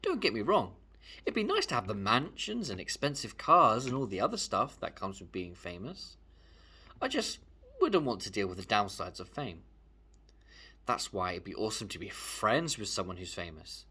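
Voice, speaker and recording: Male read-aloud narrator, lively pitch movement, slightly rough voice, emphatic first-person storytelling. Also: moderate pace. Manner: animated, emphatic. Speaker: storyteller reading a children's book aloud.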